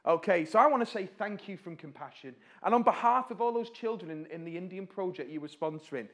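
A man speaks to a church congregation on behalf of a charity, friendly and chatty. He has a fairly high voice for a man, stumbles over words and restarts now and then, and keeps asking the room questions. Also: animated. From North West England. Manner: warm-hearted, chatty, asks the audience questions; fillers and restarts, pauses while slides change.

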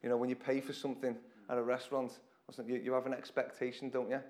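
A man with a hoarse, croaky voice preaching an informal church sermon to a congregation, talking in a chatty way and often repeating his words. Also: mid-range voice, monotone, rapid-fire, a little mellow. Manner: conversational, self-deprecating, repeats phrases.

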